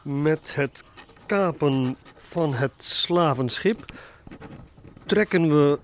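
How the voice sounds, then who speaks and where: An actor playing a determined sea captain who haltingly composes a letter aloud, in a radio drama. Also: male voice, a little high, a little mellow. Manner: halting dictation while writing, punctuation spoken aloud. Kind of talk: Dutch radio drama acting.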